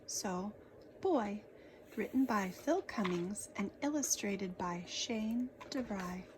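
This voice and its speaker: A woman narrates a children's story at a very unhurried pace. Her reading is expressive and dramatic, with a medium-pitched voice. There is a little noise in the background.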